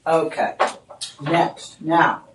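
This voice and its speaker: A woman's voice: low voice, flat delivery, very slow, slight background noise. Boston-accented woman, shopping channel host, loose chatty on-air patter. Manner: chatty, rambling, with many "you know"s. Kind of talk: live on-air sales pitch. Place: TV shopping studio.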